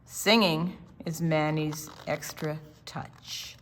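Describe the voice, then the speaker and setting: An older woman reading a picture book aloud to children, expressively, pausing to explain the pictures. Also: low voice, fairly even intonation, a little hiss or hum. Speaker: storytime reader.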